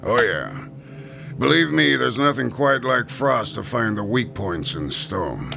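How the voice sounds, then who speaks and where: A man's voice: mid-range voice, expressive, unhurried, gravelly, over loud music. An actor playing a jovial, heavyset male gravestone carver in a radio drama, folksy and darkly joking. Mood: jovial, teasing.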